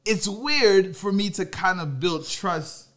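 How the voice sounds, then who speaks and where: A man's voice: sits high, takes it slowly. A man talking in a casual faith discussion, telling stories animatedly and acting out the reactions he is quoting. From Haiti. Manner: animated storytelling, acts out quoted reactions.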